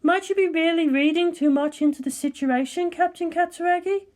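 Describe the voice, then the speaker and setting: Woman as a high, composed female scientist. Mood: sceptical. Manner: measured, formal, sceptical.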